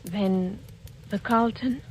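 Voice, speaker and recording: A woman's voice: mid-range voice, takes it slowly, very noisy, close to an everyday voice. A woman playing an unhappy, cowed wife with a restrained, formal manner in 1940s radio suspense style. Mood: subdued. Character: unhappy, cowed wife, weary and guardedly bitter. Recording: old radio broadcast with prominent music.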